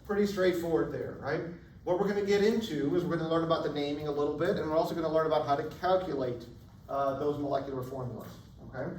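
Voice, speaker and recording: A man teaching a college chemistry class, casual and chatty, often checking in with "right?", his voice going hoarse. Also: a little high, quick pace, darkish tone. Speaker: chemistry instructor. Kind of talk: classroom lecture. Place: lecture hall. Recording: echoey lecture hall, distant mic, faint room noise.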